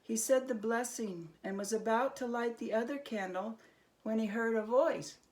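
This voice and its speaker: A woman narrating a children's story as an expressive storyteller. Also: on the high side, normal speed, low-quality recording.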